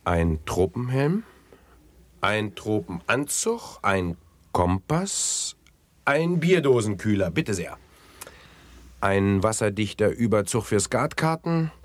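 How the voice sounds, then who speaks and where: A man's voice: on the low side, sing-song, slow pace, dry recording, heavily acted. Polite, pushy shop salesman, formal patter, radio play, dry studio sound. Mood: smooth, obliging. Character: obliging, pushy male safari outfitter salesman. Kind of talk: 1970s German radio drama acting.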